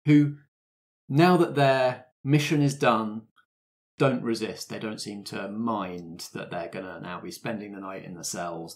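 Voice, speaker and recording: Tabletop game master, medium pitch, casual rules talk and scene-setting narration.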